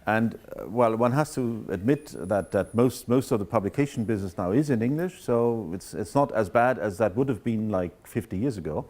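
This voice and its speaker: Male lecturer, low and warm, thoughtful and unhurried in discussion. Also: expressive. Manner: thoughtful, searching for words. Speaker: guest speaker.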